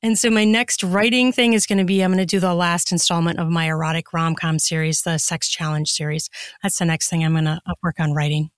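A woman's voice: lowish voice, steady tone, brisk, no echo, clean studio sound. A woman speaking as a podcast guest in casual interview chat, chatty and open as she explains things. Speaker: podcast guest, erotica author and narrator.

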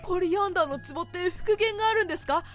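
Very high-voiced boyish young scholar. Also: female voice, fast talker. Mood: enthusiastic. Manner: polite, boyish, excitable. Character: eager, bookish, timid young male scholar. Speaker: actor in a radio drama. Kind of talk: theatrical radio drama acting. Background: music.

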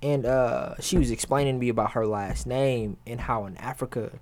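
A man co-hosting a casual podcast with friends, talking in lively, joking bursts and laughing often. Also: mid-range voice, a little hiss or hum. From southern United States. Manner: animated, loud, joking, quick bursts of laughter. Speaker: podcast co-host. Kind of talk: casual group chat.

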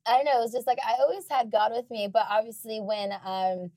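A woman's voice: a little fast, no echo. A young woman, a missionary, chatting casually about faith with her father and using lots of fillers. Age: young adult. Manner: chatty, many fillers, rises high when excited.

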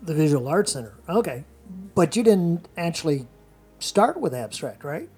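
Host asking short questions, warm mellow voice, soft music behind. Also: mid-range voice, sing-song, normal speed, husky. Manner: brief prompts and short replies. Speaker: interviewer, host. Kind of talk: casual interview.

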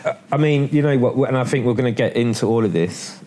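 A man's voice: mid-range voice, fast talker, dark tone, a little hiss or hum. A man hosting a podcast, asking questions casually and chiming in eagerly. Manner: encouraging, eager interjections. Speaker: podcast host. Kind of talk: casual interview.

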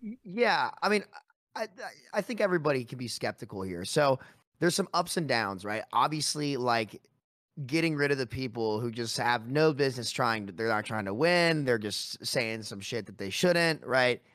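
A man's voice: mid-range voice, lively intonation, normal speed, fairly bright tone, no room sound, studio quality. A young man in a casual panel discussion, laid-back and rambling, with lots of fillers and "right?" asides. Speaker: panel guest.

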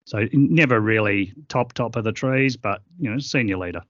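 A middle-aged man guesting on an interview, talking earnestly and haltingly with many fillers. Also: lowish voice, a bit bright, dry recording, dull audio. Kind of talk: reflective interview conversation.

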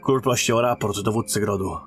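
A man's voice: fairly low pitch, steady tone, fairly quick, slightly rough, faint music behind. An actor playing a courteous male royal emissary and captain in a fantasy audio drama, speaking with formal military politeness. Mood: formal, relieved.

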